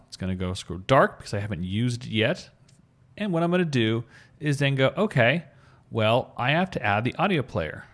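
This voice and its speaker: A man giving an upbeat, informal screencast coding tutorial, explaining things step by step. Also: mid-range voice. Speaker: web developer giving a tutorial.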